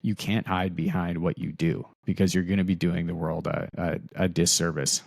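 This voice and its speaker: A man's voice: low-pitched, little pitch movement, normal speed, vocal fry, no room sound, clean studio sound. A man hosting a podcast, talking in a rambling way and often repeating words as he thinks aloud. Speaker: podcast host. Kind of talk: casual interview talk.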